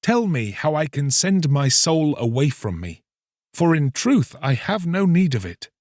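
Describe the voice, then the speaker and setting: A man's voice: a little high, sing-song, a little slow, darkish tone, no echo, studio quality, pitched above the performer's own voice. A man voicing a lovestruck young fisherman in a storybook, pleading earnestly in impassioned, archaic speech. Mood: urgent pleading.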